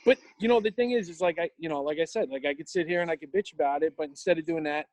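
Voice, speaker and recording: Man in his forties, new york accent, rambling chatter, slightly high voice, dry sound. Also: racing, darkish tone, no room sound, rough recording. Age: 40s. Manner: rambling, lots of fillers, swears freely. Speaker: guest, tattoo shop owner. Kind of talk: casual podcast chat.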